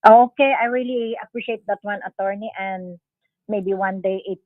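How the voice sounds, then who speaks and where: A woman calling in to a live show, speaking haltingly and politely in non-native English, on a phone line. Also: medium pitch, fairly even intonation, no room sound. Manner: polite, deferential, thankful. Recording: tinny, narrow phone-line sound.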